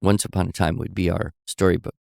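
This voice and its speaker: A man co-hosting a podcast, telling stories in a relaxed conversational way and explaining with examples and asides. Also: low-pitched, fast, gravelly, no room sound, studio quality. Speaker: podcast co-host. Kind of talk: conversational storytelling.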